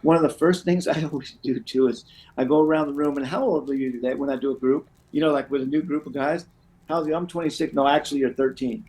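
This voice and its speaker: A man's voice: mid-range voice, steady tone, fast talker, darkish tone. A middle-aged man chatting as an interview guest, a recovery counselor who rambles and often says "you know". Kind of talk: conversational interview, sharing personal anecdotes.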